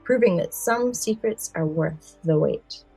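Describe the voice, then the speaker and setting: A woman's voice: fairly low pitch, unhurried, vocal fry, mellow, husky, dry recording, soft background music, low-quality recording. A woman co-hosting a podcast, speaking conversationally about things she has chosen to share. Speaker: podcast co-host. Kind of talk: casual podcast chat with some prepared narration.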